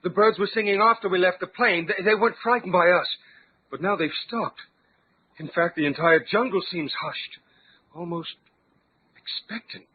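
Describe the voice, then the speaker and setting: An actor playing a nervous, well-spoken jungle explorer with a stammering, apprehensive manner in an old-time radio adventure serial. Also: male voice, high voice, normal speed, slightly rough. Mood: uneasy. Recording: tinny band-limited radio recording, faint noise.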